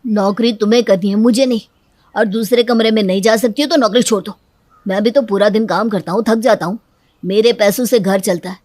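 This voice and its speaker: A woman's voice: medium pitch, a little fast, darkish tone, dry recording, close to an everyday voice. A woman voicing a young husband with an emphatic, blunt manner in a cartoon story. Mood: stern, annoyed. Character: young husband, supportive but easily irritated.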